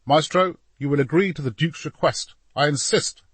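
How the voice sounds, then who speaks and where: A man's voice: a little high, moderate pace, breathy edge, no echo, voice pushed up. A man voicing an earnest young captain, formal and firm, in an audiobook story.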